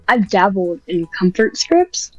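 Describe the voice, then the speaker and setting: A woman's voice: mid-range voice, sing-song, slow pace, a little mellow, no echo. A woman guest on a podcast, talking casually and hesitantly about writing ASMR scripts, with lots of fillers and restarts. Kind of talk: casual interview chat. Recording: muffled, dry, faint music behind.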